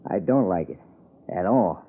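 An actor playing a tough, blunt gangster in a 1950s American radio crime drama, talking in slangy threats with an American accent. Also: male voice, deep voice, expressive, unhurried, slightly rough, exaggerated voice. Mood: wary, sullen. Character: tough, blunt male gangster just out of prison. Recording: muffled, noisy old radio broadcast.

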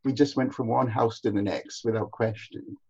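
A man's voice: mid-range voice, steady tone, normal speed, vocal fry, background noise. An older man from Barbados, reminiscing as an interview guest, repeating phrases for emphasis, on a muffled recording. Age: older, over 60. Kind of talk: reminiscing in conversation.

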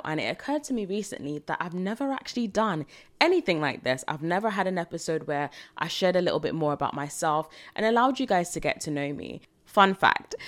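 A young woman in her twenties talks through a solo podcast Q&A in a loose, reflective way, wandering into asides and laughing lightly. Her voice sits low for a woman. She grew up in Britain after moving from Jamaica as a child. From Jamaica, raised in the UK from age seven. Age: 20s. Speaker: podcast host. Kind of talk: solo podcast Q&A, casual chat.

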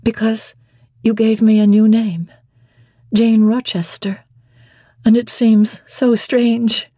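A woman's voice: a little high, flat delivery, slow pace, warm tone, no room sound, studio quality, pitched above the performer's own voice. A woman voicing a shy young governess in love in a classic novel, hesitant, modest and earnest. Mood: hesitant, wondering. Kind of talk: dramatic classic novel reading.

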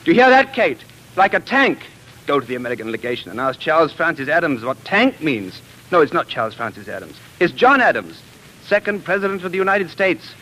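An actor playing an anguished, passionate time traveler in love, in theatrical 1940s radio drama style. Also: male voice, a little high, sing-song, brisk, sharp tone, full character voice, low-quality recording. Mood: angry, scornful. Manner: impassioned, theatrical.

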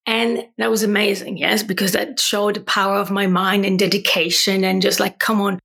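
A woman's voice: mid-range voice, moderate pace, creaky, slightly rough. A woman in her forties from Poland with a Polish accent, telling her story earnestly as a guest on an interview podcast. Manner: earnest, animated storytelling with tag questions. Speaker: wellbeing coach, podcast guest. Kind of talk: conversational interview.

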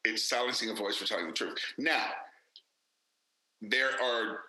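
A man's voice: on the low side, normal speed, pushed and bright, reverberant, rough recording. A man, an actor and director, speaking candidly and emphatically in a casual interview, with many fillers and restarts.

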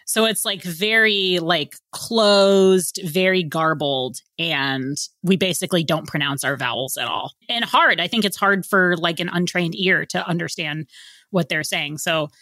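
A woman's voice: fairly low pitch, unhurried, no room sound. An American woman in her thirties, a podcast guest telling travel stories in casual chat and laughing often. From United States. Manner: animated storyteller, laughs often.

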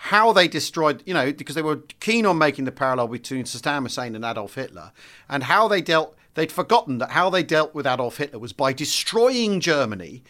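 Co-host: man, talkative, raised pitch, restarts sentences as he goes. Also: a little fast. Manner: animated, repeats and restarts phrases. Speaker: podcast co-host. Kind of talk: casual conversational history chat.

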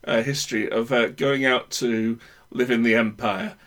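A man's voice: medium pitch, steady tone, moderate pace, a bit bright. A man guesting on a podcast, telling meandering family anecdotes in casual chat and correcting himself as he goes. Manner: meandering, self-correcting storyteller.

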